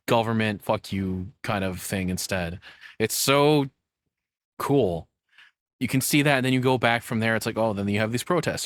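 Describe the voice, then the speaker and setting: Low-voiced man reacting casually. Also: dry recording, clean studio sound. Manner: enthusiastic, informal, swearing. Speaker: streamer reacting to a video. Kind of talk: casual spontaneous reaction commentary.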